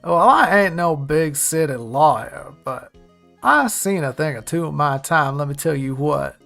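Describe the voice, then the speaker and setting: A folksy country lawyer with a thick Southern drawl, slow and boastful in a homespun way, as a streamer puts him on. The voice has a gravelly edge and sits in a middling pitch. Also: lively intonation, fairly bright tone, soft background music.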